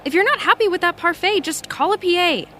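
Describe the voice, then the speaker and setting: A woman playing a brisk, businesslike production assistant, polite but curt, in an audio comedy-drama. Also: very high voice, expressive, sharp tone, faint music behind, heavily acted.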